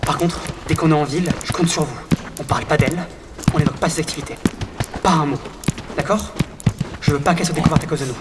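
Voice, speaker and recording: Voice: mid-range voice, fairly even intonation, quick pace, long pauses, very noisy, close to an everyday voice. A man playing a stern, protective, guarded insect adventurer with a curt, firm manner in an audio drama. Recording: long reverb, music behind.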